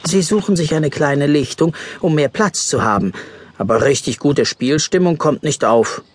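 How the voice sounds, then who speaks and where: A man's voice: a little high, moderate pace, no room sound. A man narrating a scripted children's story expressively.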